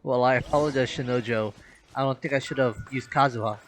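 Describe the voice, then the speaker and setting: A man's voice: mid-range voice, fast, slight background noise. A man doing casual playthrough commentary as a gaming streamer, playfully talking to himself and the game. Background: game music.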